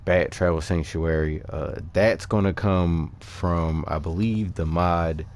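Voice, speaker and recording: A man with a low voice talks through a video game build in a casual, unscripted style. He speaks informally and haltingly, slipping into slang as he explains his choices to viewers. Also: steady tone, darkish tone. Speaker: gaming video creator presenting character builds. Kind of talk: casual unscripted gameplay walkthrough commentary.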